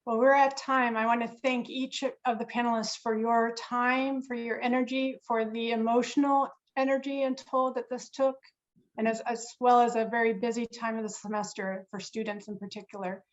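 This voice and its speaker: A woman's voice: on the high side, little pitch movement, lo-fi sound. Earnest woman moderating a webinar. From Korea. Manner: earnest, reflective, halting with restarts. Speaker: dean moderating a panel. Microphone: video call.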